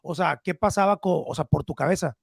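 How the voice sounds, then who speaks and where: A man's voice: fairly high pitch, fairly quick, creaky, slightly rough, dry recording, clean studio sound. A man hosting a casual interview, chatty and informal, putting questions to his guest. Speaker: podcast host, interviewer.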